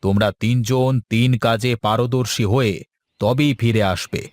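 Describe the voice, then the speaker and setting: A man's voice: mid-range voice, steady tone, moderate pace, no room sound, studio quality, lightly acted. A man voicing an obliging, easygoing village uncle in a cartoon fairy tale. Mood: instructing.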